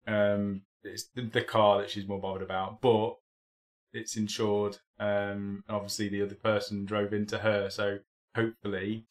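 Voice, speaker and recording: Chatty man, low voice, stream host. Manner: rambling, chatty, many ums and restarts. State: recovering from a cold, a bit tired. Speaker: host of a live hobby stream. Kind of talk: casual live-stream chat.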